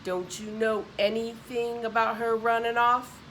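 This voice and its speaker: A woman's voice: a little high, flat delivery, measured pace, fairly bright tone, a little hiss or hum, low-quality recording. A woman voicing a stern, threatening male slaveholder in an audiobook, with a clipped and coaxing menace. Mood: suspicious. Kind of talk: audiobook character voice.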